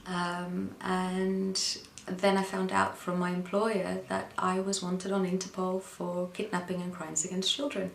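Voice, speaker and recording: Dutch-born woman, deep gravelly voice, lots of ums, echoey room. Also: monotone, slight background noise. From Netherlands. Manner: hesitant, with frequent pauses and ums. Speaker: interview guest. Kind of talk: personal account in an interview.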